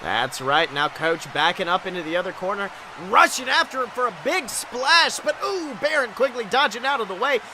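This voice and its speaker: A man's voice: high-pitched, very animated, moderate pace, hardly any pauses, noisy background. A man doing dramatic, exclamatory play-by-play wrestling commentary as a podcast host, with a breathless delivery.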